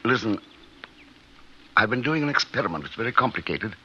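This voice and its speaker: Man acting a low-voiced, troubled scientist, noisy recording. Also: big pitch swings, fairly quick, sharp tone, dull audio. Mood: anxious. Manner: hesitant, dramatic. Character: troubled, fearful surgeon-scientist confessing a secret experiment. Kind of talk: vintage radio drama acting. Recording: noisy old radio drama recording.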